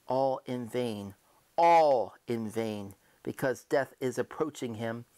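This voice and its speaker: A man narrating a gothic horror story in an old-time radio manner, theatrical and deliberate, shifting between hushed and emphatic delivery. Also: mid-range voice. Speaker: narrator reading a short story aloud.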